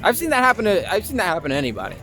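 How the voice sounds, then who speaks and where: A man's voice: sits high, wildly swooping pitch, very fast, a little hiss or hum. A young adult man from Long Island giving a casual, informal and opinionated interview as an actor. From Long Island, New York. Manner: informal, mildly profane, opinionated. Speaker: interview guest, actor and performer. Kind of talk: casual street interview chat. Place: outdoors in an urban public spot. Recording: outdoor street with loud music behind.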